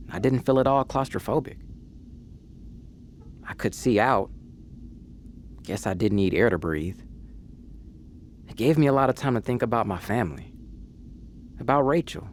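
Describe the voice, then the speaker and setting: A man's voice: medium pitch, darkish tone, a little hiss or hum, lightly acted. A man playing the ghost of a male cat, telling his own story in a wry, folksy way. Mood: reflective. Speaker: narrator. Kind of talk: first-person scripted storytelling. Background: music.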